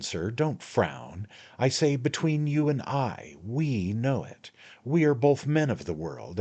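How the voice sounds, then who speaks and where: A man doing a fussy little storybook attorney who wheedles and flatters at every turn. The voice rides high and lively, swooping up as he coaxes. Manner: ingratiating, wheedling, repeats "my dear sir".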